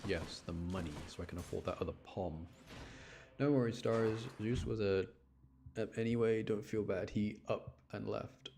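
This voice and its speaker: A man's voice: lowish voice, fairly even intonation, moderate pace, mellow, slight background noise. A man giving casual live commentary as he streams a video game, rambling and often repeating himself.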